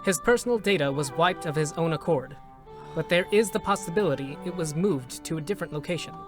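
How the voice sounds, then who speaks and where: Male support robot acted by a man, clipped, formal, impassive deadpan, game drama with music behind. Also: a little high, a little fast, lightly acted. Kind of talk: dramatic reading of game characters.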